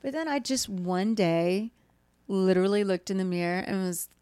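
Thirties woman, slightly raspy, under the weather, animated and giggly co-host; dry, close sound. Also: mid-range voice, moderate pace, no room sound. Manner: laughs often, animated storytelling. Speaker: podcast co-host. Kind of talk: casual chat.

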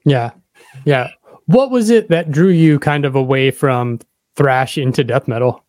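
A man's voice: mid-range voice, a little slow, darkish tone, no echo, studio quality. A man hosting a podcast, asking questions in an informal, casual chat style. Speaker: podcast host, interviewer.

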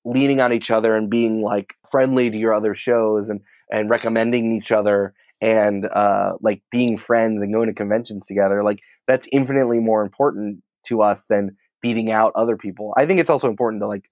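A man's voice: lowish voice, steady tone, fairly quick, few pauses, dry recording, lo-fi sound. A man speaking as a podcast guest in a casual, emphatic, opinionated conversational way. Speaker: podcast producer and writer, interview guest.

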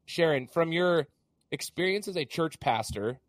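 A man hosting a podcast interview in a casual, chatty way, hoarse as he gets over an illness. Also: fairly high pitch, expressive, measured pace, no room sound. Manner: chatty, many fillers and restarts. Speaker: podcast host.

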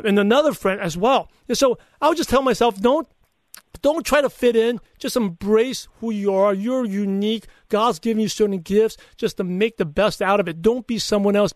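Podcast guest: high-voiced male, Brooklyn-Chinese accent with a lisp, earnest anecdotal delivery, very dry recording. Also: no echo. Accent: Brooklyn mixed with Chinese. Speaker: podcaster and coach, interview guest. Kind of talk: conversational interview answers with personal anecdotes.

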